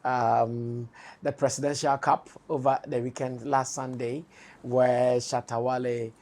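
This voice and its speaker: A man with a Ghanaian accent hosting a studio talk show, hoarse-voiced, steering the discussion and laughing easily. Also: medium pitch, flat delivery, unhurried, darkish tone. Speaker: show host.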